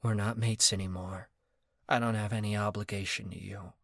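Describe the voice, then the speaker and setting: A man's voice: on the low side, level tone, normal speed, fairly bright tone, no room sound, clean studio sound. A man voicing a defiant young woman who speaks firmly and cuttingly. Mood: cold firm. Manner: firm and cutting.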